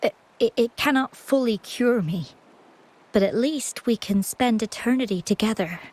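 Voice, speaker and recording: An actress voicing a gentle, anxious, ailing young woman in a video game, speaking softly and politely with hesitant stammers. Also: a little high, normal speed, natural voice. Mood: wistful, worried.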